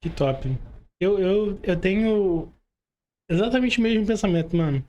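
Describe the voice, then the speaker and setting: Young brazilian dude hosting a podcast, highish voice, slangy casual interview talk. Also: male voice, a little slow, darkish tone, dull audio. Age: young adult. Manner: informal, slangy, checks for agreement. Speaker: podcast host.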